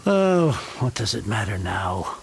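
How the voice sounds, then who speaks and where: A man's voice: lowish voice, very animated, a little slow, creaky, slight background noise, heavily acted. A man voicing a dramatic, self-pitying middle-aged book collector in a video game, given to theatrical sighing laments. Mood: defeated.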